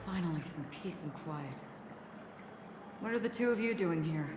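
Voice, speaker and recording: Woman acting composed guarded female warrior, slightly low, polite formal delivery, game dialogue, hall reverb, prominent music. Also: lively intonation, normal speed, heavy background noise, close to an everyday voice. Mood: puzzled, composed. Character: calm, courteous, guarded woman warrior offering protection. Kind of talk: English video game voice acting.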